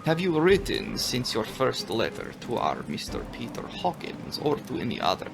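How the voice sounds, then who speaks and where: A man performs an old vampire count for a gothic audiobook. The delivery is slow and formal, courteous yet menacing, with a creaky edge and sweeping intonation. Music plays underneath. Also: mid-range voice, wildly swooping pitch. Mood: commanding, smoothly insistent. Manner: slow, formal, deliberate with dramatic pauses. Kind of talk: dramatic audiobook character reading.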